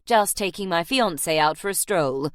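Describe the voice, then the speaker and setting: A woman voicing a stern, protective young nobleman who speaks in low, clipped, snarling tones. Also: animated, moderate pace, no room sound, clean studio sound, lightly acted. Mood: breezy.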